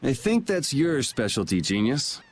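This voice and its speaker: A man's voice: medium pitch, big pitch swings, measured pace, a little hiss or hum. A man voicing a casual but resolute young swordsman who leads his group in a video game. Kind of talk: English video game voice acting.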